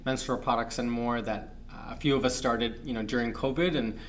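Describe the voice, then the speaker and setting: Young male guest from Pakistan, measured reflective delivery, mid pitch, light background hum. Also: steady tone. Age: young adult. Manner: thoughtful, measured, many fillers. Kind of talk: reflective interview talk.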